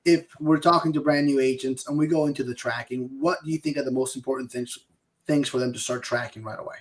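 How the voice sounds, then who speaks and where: A man's voice: mid-range voice, fairly even intonation, quick pace, low-quality recording. A man in his forties from Peru with a Peruvian accent, co-hosting a casual business podcast in an upbeat, motivational way. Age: 40s. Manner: upbeat, motivational, hyping up the listener. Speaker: podcast co-host.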